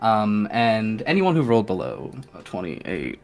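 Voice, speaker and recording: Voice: medium pitch, huge pitch swings, unhurried. A game master narrating a tabletop roleplaying game in a descriptive, somewhat hesitant way. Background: faint music.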